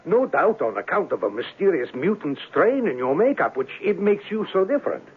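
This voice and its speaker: An actor in a 1970s radio drama playing a grandiose, urgent herald of a great mission, with a raspy voice and pompous, theatrical delivery. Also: male voice, high-pitched, sing-song, a little fast, exaggerated voice. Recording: phone-like vintage radio recording.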